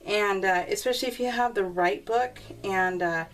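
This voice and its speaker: A woman's voice: mid-range voice, normal speed, slight background noise. Chatty woman, slightly distant mic. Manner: chatty, animated when retelling conversations. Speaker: crafting vlogger. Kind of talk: casual chat, rambling personal storytelling over a craft process video. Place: indoors at a craft table.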